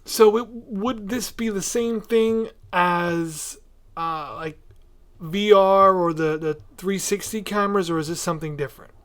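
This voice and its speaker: A man's voice: sits high, measured pace, fairly bright tone. A man hosting a podcast, interviewing in a casual, chatty way.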